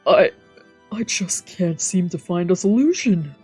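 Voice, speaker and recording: A reverent, humble man from a visual novel, as read aloud by a woman. The voice is mellow and a little husky, earnest and apologetic in manner, with faint music behind it. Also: fairly low pitch, expressive, measured pace, heavily acted. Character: humble, devout man praying reverently before a painting.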